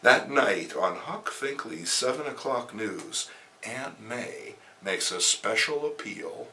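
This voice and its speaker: A man's voice: lowish voice, expressive, slow pace, pushed and bright, recorded from a distance, slight background noise. A man hosting a comic-book reading, mixing theatrical narration with casual asides. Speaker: host reading a comic aloud.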